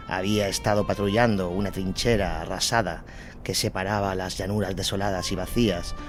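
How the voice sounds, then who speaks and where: A man narrating a scripted war story in a dramatic documentary style. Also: low voice. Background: music.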